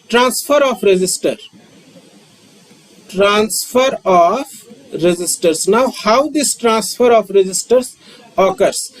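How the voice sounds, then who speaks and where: A man, lecturing on physics and repeating key terms with frequent pauses. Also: sits high, rough recording. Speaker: physics teacher. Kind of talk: slow, repetitive classroom lecture.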